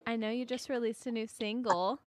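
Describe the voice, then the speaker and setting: A woman's voice: a little high, fairly even intonation, a little fast, dry recording. A woman hosting a podcast, asking friendly, encouraging questions in a casual interview. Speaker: podcast host.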